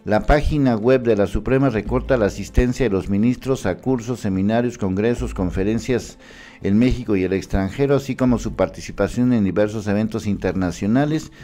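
A man's voice: on the low side, a little fast, soft background music. A man in his fifties with a Mexican accent, giving emphatic, indignant political commentary to camera from a small studio on a mic in frame. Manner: emphatic, indignant, animated hand gestures. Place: small studio with city backdrop.

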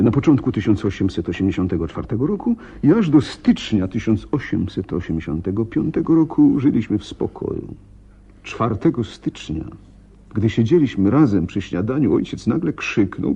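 A troubled, animated young gentleman. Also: mid-range voice, breathy edge, dull audio. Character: frightened, helpless young gentleman recounting family deaths. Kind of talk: radio drama acting.

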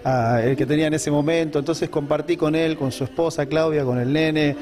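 A man's voice: on the high side, steady tone, brisk, darkish tone. A middle-aged man with an Argentine accent, chatting casually as a guest in an interview. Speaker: singer and musician, interview guest. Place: indoor room. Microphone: handheld interview microphone.

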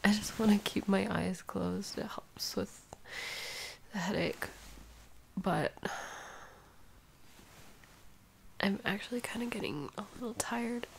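A woman playing a sleepy, sick, clingy girlfriend in an intimate audio roleplay, speaking softly and haltingly, often near a whisper. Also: mid-range voice, creaky, a little hiss or hum, low-quality recording. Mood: sleepy, affectionate.

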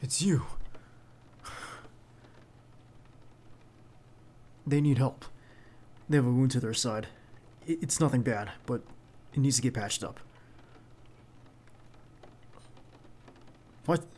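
A man playing a protective, earnest bodyguard in an ASMR roleplay, speaking haltingly and insistently straight to the listener. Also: medium pitch, a little mellow, natural voice. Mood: alarmed. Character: protective, earnest young male bodyguard to a crime boss. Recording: clear background noise under the voice.

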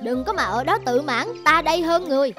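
A woman voicing a feisty, chatty small female bird in a children's cartoon, sassy and expressive. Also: high voice, a little fast, music in the background, close to an everyday voice. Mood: scolding.

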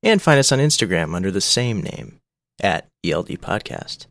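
A man's voice: low-pitched, very animated, moderate pace, a bit bright, no echo. A man reading a scripted promo for a podcast, playful and addressing the listener directly.

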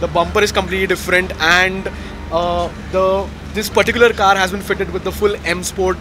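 A man's voice: sits high, level tone, a little fast, noisy background. A young man, vlogging casually and enthusiastically at an outdoor car show, talking into the camera with filler "uh"s. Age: young adult. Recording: loud music behind the voice.

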